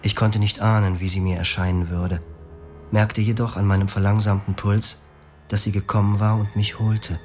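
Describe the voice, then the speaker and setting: A man tells his story in the first person for a radio drama, his voice low and grave. He keeps the delivery quiet and measured. Faint music sits under the words. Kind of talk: scripted first-person narration.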